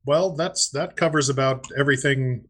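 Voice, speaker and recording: Man, husky voice, mid-range pitch, podcast co-host, casual conversation. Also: normal speed, fairly bright tone. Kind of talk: casual chat.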